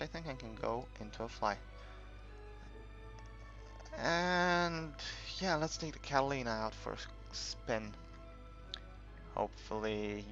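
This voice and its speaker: A man giving casual, unscripted game commentary in a halting, rambling way, as if thinking aloud while he explains things. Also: medium pitch, gravelly, sharp tone. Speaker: gaming video creator narrating a tutorial. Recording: dull lo-fi home recording, music behind.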